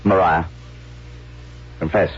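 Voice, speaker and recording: A man's voice: deep voice, fairly even intonation, takes it slowly, breathy edge, exaggerated voice. A man acting a stern, lovesick army colonel in an old-time radio drama, with a formal, earnest delivery. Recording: dull, noisy radio drama recording.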